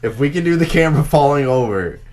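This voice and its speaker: A man's voice: fairly high pitch, lively intonation, quick pace, a little mellow. A man co-hosting a podcast, chatting and joking casually with friends. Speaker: podcast co-host.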